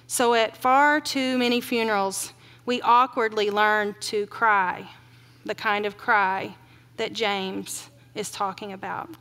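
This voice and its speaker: Middle-aged woman preaching at a podium, kinda high voice, earnest, big auditorium echo. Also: bright, forceful tone. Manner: earnest, slowing to stress grim facts. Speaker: keynote speaker. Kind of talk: keynote sermon to a large audience. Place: large auditorium stage. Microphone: podium mic. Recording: long hall reverb.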